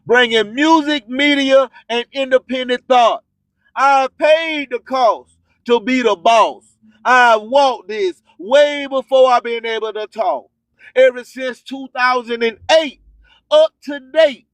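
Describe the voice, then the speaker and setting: Very high-pitched, slow-talking preachy man. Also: fairly bright tone, dry recording, poor audio. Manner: preachy, emphatic, repeats slogans; streetwise slang with swearing. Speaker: radio host. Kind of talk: motivational radio monologue in rhymed catchphrases.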